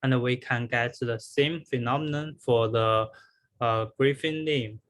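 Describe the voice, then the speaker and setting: Slow-paced man presenting research. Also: medium pitch, fairly even intonation, a bit bright. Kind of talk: scripted academic presentation.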